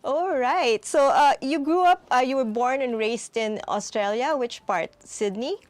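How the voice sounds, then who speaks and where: Host: woman, higher voice, polite questioner, dry close sound. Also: a little slow, no room sound. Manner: polite, scripted intro then conversational questions. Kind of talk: interview hosting.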